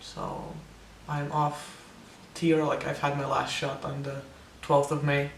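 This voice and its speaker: A man's voice: a little high, little pitch movement, measured pace, gravelly, echoey room, distant mic, a little hiss or hum. A young man giving a casual, hesitant personal update, full of ums and restarts, his voice sometimes cracking with air breaking through. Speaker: vlogger.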